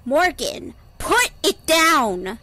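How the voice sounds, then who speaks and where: Man voicing a high, pleading, frightened girl. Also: big pitch swings, very slow, sharp tone, a little hiss or hum, heavily acted. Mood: desperate. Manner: cracking, emotional, pleading. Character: frightened young woman pleading, trying to sound calm.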